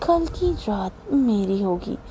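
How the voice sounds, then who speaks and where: A possessive young man from a storybook romance murmurs low and slow, tender on the surface and menacing underneath, as a woman reads him. The voice sits far deeper than the storyteller's own, and the tone stays dark and mellow. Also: lively intonation, slight background noise.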